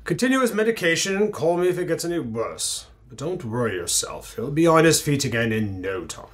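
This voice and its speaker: An actor playing a formal, reassuring family doctor in an old-time radio drama. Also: male voice, a little high, sing-song, fairly quick.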